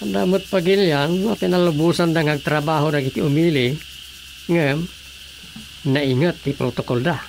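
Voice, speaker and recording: An actor playing a talkative, easygoing, sympathetic village councilor in a radio drama comedy, with an Ilocano Filipino accent. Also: male voice, a little high, moderate pace, vocal fry, a little hiss or hum. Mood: musing.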